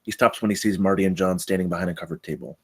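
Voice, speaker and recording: Table-read narrator: male, low register, reads screenplay action lines aloud. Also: steady tone, very fast, husky. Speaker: stage directions reader at a table read.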